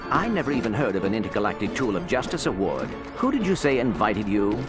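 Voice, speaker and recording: A man's voice: lowish voice, big pitch swings, fast, music in the background. A man playing a polite, precise little robot sidekick with formal speech in a video game. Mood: puzzled. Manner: formal, courteous. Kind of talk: video game cutscene acting.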